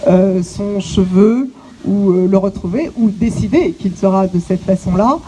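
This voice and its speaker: A woman from French Guiana, an exhibition curator, giving an opening speech outdoors to visitors, pausing often with hesitations and restarts. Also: medium pitch, dark tone, a little hiss or hum, rough recording. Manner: halting, many hesitations and restarts. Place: outdoors on an island site.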